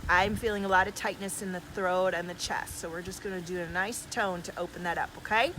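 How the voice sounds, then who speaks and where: A woman's voice: on the low side, steady tone, a little fast. A woman speaking effusively and emphatically in casual new-age coaching style on a livestream, as a healer and host. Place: outdoors in the desert. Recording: clear background noise, outdoors.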